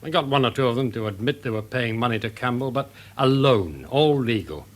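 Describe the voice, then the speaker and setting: Blunt, animated British actor as police inspector. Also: male voice, medium pitch, fairly quick, natural voice. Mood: resigned, brisk. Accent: British English. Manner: blunt, colloquial, sceptical. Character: sceptical, gruff male police inspector in a radio drama. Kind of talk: classic British radio drama acting.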